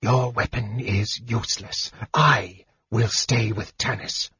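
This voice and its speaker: A man acts out a frail male mage from a fantasy audiobook. He whispers softly and coolly, sly and fearless, in a slightly dull recording. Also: on the low side, steady tone, slow pace, husky, dry recording, exaggerated voice. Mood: hushed, cool. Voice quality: soft whisper.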